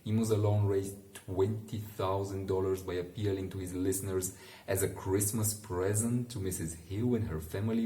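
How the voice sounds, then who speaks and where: A man reading a bedtime book aloud in a relaxed, informal way, pausing to muse with casual asides. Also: low-pitched, echoey room, rough recording. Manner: unhurried, musing, informal. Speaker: bedtime story reader and commentator. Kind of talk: relaxed read-aloud with casual asides to help listeners sleep.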